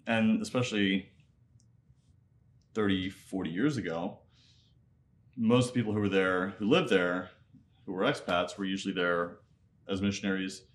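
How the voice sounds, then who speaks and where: A man in his thirties who grew up in Nepal and speaks with an American accent. His voice sits on the low side. He tells his story conversationally and reflectively as an interview guest. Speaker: interview guest, MBA student and entrepreneur.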